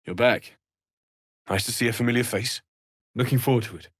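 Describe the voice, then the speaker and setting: Man acting a husky, gentle fantasy blacksmith. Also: medium pitch, fairly quick, close to an everyday voice. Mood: friendly. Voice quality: husky, breathy. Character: kindly, earnest young male infernal blacksmith from a fantasy world. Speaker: blacksmith and trader. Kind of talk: video game character dialogue.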